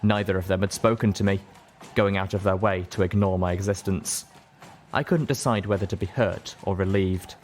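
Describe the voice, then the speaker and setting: A man narrates an audiobook in a fairly low voice. His delivery is scripted, dramatic storytelling, recorded with clear noise in the background. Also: steady tone, normal speed. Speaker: audiobook reader.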